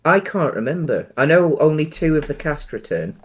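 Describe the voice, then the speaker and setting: A man co-hosting a casual film discussion, speaking in a halting, hesitant way with frequent ums. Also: a little high, sing-song, breathy edge. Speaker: podcast co-host.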